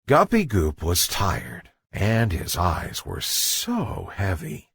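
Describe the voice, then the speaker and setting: Male children's storyteller, husky, dramatic and unhurried, with huge pitch swings and a dry sound. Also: huge pitch swings, fairly bright tone, no echo. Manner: dramatic, builds suspense. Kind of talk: expressive scripted bedtime story narration.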